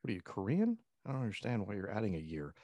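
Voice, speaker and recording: A man's voice: on the low side, sing-song, quick pace, warm tone, no echo, studio quality. A man chatting casually in a profane, rambling way, often jumping in with interjections. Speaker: talk show co-host. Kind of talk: casual bantering chat.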